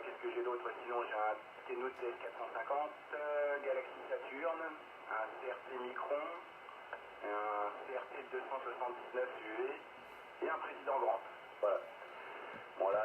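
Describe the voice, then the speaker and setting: A man with a mid-range voice talks casually as a radio operator over a two-way link. His transmission is narrow and lo-fi, with clear noise and a strong echo. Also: mid-range voice, normal speed. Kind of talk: casual radio group exchange.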